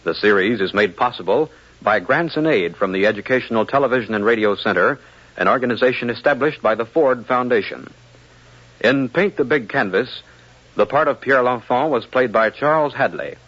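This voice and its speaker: A man announcing and narrating a 1950s radio programme from a script, in a formal, measured delivery. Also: medium pitch, a bit bright. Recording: muffled vintage radio broadcast.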